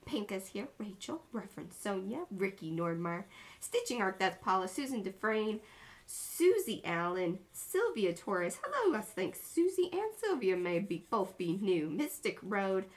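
A woman's voice: medium pitch, sing-song, measured pace. A woman in her thirties, co-hosting an art livestream from a small home studio, chatting bubbly and playfully as she greets viewers by name.

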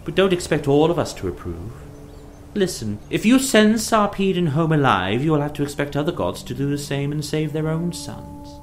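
A man voicing a regal goddess queen in an epic tale, reproving and deliberate. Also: fairly high pitch, voice pushed up. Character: poised, chiding queen goddess, wife of the sky god.

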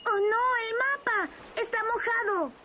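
A woman's voice: extremely high pitch, a little slow, sharp tone, slight background noise, full character voice. A woman voicing a cheerful, helpful young boy rabbit in a children's cartoon, encouraging and explaining things step by step. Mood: excited.

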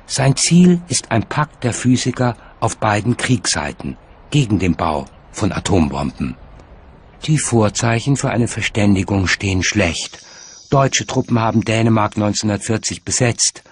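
Low-voiced male documentary narrator. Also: breathy edge. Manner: measured, articulate. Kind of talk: scripted narration.

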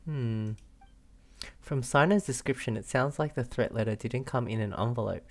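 A young man giving casual, relaxed playthrough commentary, musing aloud as he plays. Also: mid-range voice, normal speed. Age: young adult. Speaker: game streamer. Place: small room indoors.

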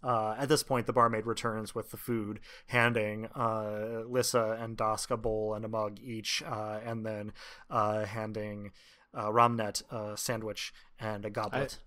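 A man narrating a tabletop roleplaying game as game master, improvising with a halting, hesitant delivery. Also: fairly low pitch, little pitch movement, a bit bright.

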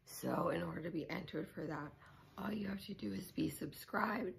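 A young woman, hoarse, chatting casually to her camera at home and rambling with many ums and likes. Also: fairly low pitch, moderate pace, slight background noise. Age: young adult. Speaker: YouTuber. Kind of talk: casual vlog chat to camera. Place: small living room at home, indoors.

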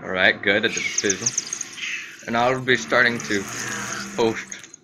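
A man's voice: on the low side, unhurried. A man giving casual let's play commentary, rambling and repeating himself as he thinks aloud, as host of a gaming channel. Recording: faint background noise.

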